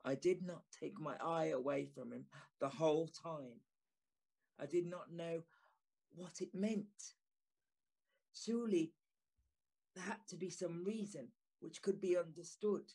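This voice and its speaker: An actor playing a gentleman who narrates a Victorian ghost story in the first person, grave, puzzled and formal. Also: a little high, vocal fry, husky, exaggerated voice, rough recording. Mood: grave, uneasy. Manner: deliberate, formal, literary. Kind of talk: dramatic first-person scripted narration, Victorian audio drama.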